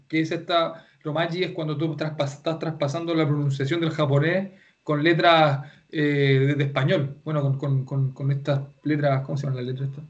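A man's voice: fairly high pitch, muffled recording. Man teaching a Japanese class. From Chile. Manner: explains patiently, repeats words, many restarts.

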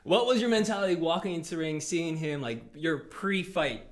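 A man's voice: a little high, expressive, a little fast. A man in his thirties co-hosting a podcast, casually asking the guest questions. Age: 30s. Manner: curious, asks questions. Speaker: podcast co-host.

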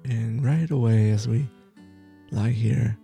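A man who is a meditation teacher, leading a guided body scan for sleep with slow, soft, soothing phrasing that repeats itself. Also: mid-range voice, vocal fry, faint music behind.

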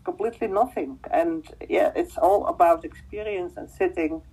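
A woman in her fifties from Belgium, a native Dutch speaker with a Dutch-speaking Belgian accent, answering interview questions conversationally and haltingly, often repeating words. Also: on the low side, dark tone, slightly rough, poor audio. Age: 50s. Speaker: guest, spiritual medium.

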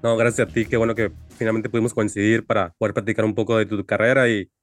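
A man's voice: on the low side, level tone, quick pace, faint music behind. A man hosting an interview, asking polite, lightly formal questions with occasional fillers. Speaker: interviewer, host.

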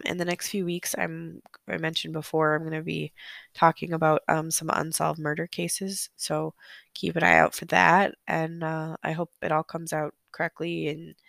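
A sick, hoarse woman hosting a solo true-crime podcast, chatty and apologetic, filling pauses with ums. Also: low voice, a bit bright, dry recording. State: sick, hoarse, losing her voice. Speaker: podcast host. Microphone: close podcast microphone.

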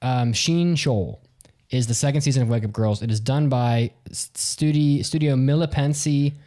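A man with a deeper voice co-hosting a casual podcast, rambling and restarting his sentences. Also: moderate pace, mellow. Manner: rambling, self-interrupting, restarts. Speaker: podcast co-host.

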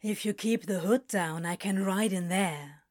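A woman's voice: mid-range voice, lightly acted. A woman voicing a small male serpent prince, sardonic and putting on princely airs, with a slightly sibilant drawl. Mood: flat, haughty. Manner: sibilant, drawn-out, flat drawl.

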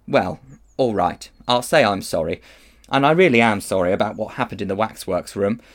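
A man's voice: fairly low pitch, moderate pace, lightly acted. A man voicing an earnest, anxious young schoolboy in a storybook, pleading in old-fashioned slang. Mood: grudging apology. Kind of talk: audiobook character acting.

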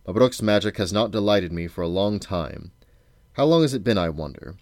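A man voicing a grim, joyless old king in a storybook, speaking in a flat, curt, imperious manner. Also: deep voice, moderate pace, dry recording. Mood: brooding.

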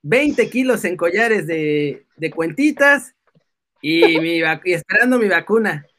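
Male stream co-host, Mexican accent. High-pitched, forceful delivery, playful and excitable, casual chat. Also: unhurried. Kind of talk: casual live-stream banter.

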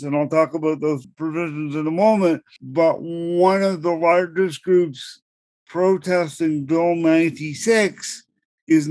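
A middle-aged man on a podcast, explaining legal matters in a measured way and repeating words as he starts each thought. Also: fairly high pitch, darkish tone, dry recording. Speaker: podcast co-host explaining law. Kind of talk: explanatory conversational chat.

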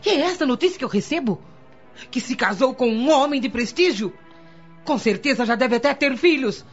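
A woman's voice: high-pitched, lively intonation, moderate pace. A woman playing a vengeful, raging middle-aged mother in a melodramatic radio soap, hysterical and insulting. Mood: angry, demanding. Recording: dull lo-fi audio, music underneath.

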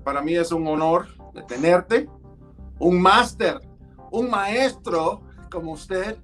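A male host opens a live show, speaking slowly and deliberately as he introduces his guest. His voice sits a little high, and faint music plays underneath. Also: fairly bright tone.

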